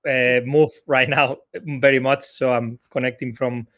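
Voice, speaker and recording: A man's voice: mid-range voice, flat delivery, a little slow, a bit bright, muffled recording. A man with a Spanish accent, guest on a live stream, talking casually from his sofa at home, hesitant with many fillers. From Spain. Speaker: guest digital marketer.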